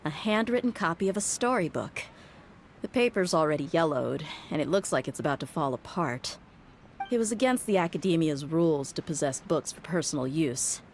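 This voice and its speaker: A woman's voice: sits low, lively intonation, moderate pace, a little hiss or hum. An actress voicing a tough, blunt young female mercenary, wry and exasperated, sighing often. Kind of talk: game voice acting.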